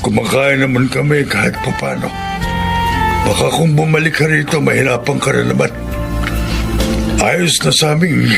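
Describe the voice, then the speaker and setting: Very gravelly older Filipino father. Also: medium pitch. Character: poor, ailing older father, proud and self-effacing. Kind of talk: radio drama acting. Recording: music behind the voice.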